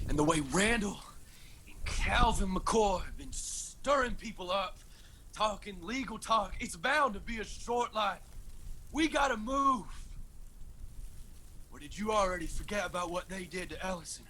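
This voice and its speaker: An actor playing a hot-headed, defiant young Appalachian son in a radio drama, brash and impatient. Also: male voice, high voice, sharp tone, a little hiss or hum, full character voice.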